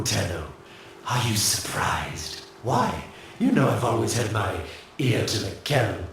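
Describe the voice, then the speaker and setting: A sly, smug schemer in a video game, teasing and sarcastic. His voice is gravelly and slow, in a middle pitch. It sounds far off in a very echoey space with faint noise. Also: heavily acted. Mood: mocking. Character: sly scheming male inventor, mocking and smug. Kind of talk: video game cutscene voice acting.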